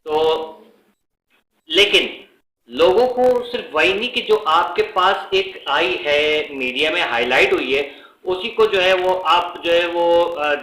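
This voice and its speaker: A man from Pakistan with a Pakistani Urdu accent, commenting casually in a vlog, often pausing on fillers and slipping English words in. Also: a little high, sharp tone, reverberant, rough recording. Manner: halting, many fillers, mixes in English words. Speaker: vlogger.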